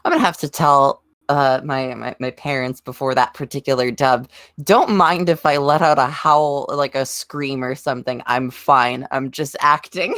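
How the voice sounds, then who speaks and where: A woman's voice: extremely low pitch, fairly even intonation, moderate pace, fairly bright tone, no room sound. A woman chatting casually as one of the panel on a group podcast. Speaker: podcast panelist and fan voice actor.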